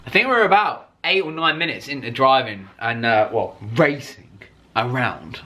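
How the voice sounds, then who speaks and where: A man telling a personal story like a vlogger, casually and excitably, with emphatic swearing and acted-out reactions. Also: mid-range voice, moderate pace.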